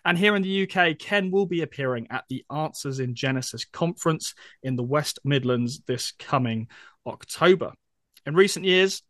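A man hosting a radio interview show, reading a measured scripted introduction and then asking questions. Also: on the high side, fairly bright tone, no room sound, studio quality. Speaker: radio show host, magazine editor.

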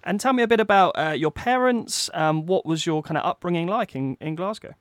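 A man hosts an interview show, his voice on the higher side. He introduces the guest and asks questions in a friendly, upbeat way. The sound is close and dry. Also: animated, a little fast, fairly bright tone, no echo. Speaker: podcast host. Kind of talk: interview hosting.

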